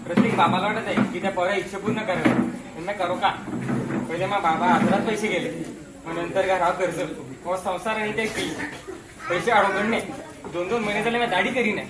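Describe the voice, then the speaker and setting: A man's voice: high-pitched, steady tone, fast, lightly acted. An actor playing an exasperated, defeated, self-sacrificing father in a stage play, with emotional, plaintive delivery. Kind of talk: amateur stage play acting. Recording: distant mic, echoey hall, noisy crowd.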